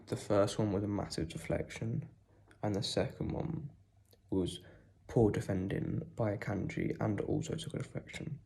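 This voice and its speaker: A young man with a cold and sore throat, talking quietly and casually about a football match, with lots of ums. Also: on the low side, fairly even intonation, moderate pace, gravelly. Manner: speaks quietly, holding back volume; rambling with many ums. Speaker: football fan vlogger. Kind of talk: casual post-match reaction.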